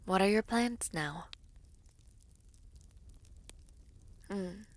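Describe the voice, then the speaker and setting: A woman playing a poised, regal villainess princess in a fantasy audio roleplay, with measured, formal diction and a commanding but caring temper. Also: mid-range voice, close to an everyday voice. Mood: tender, reassuring.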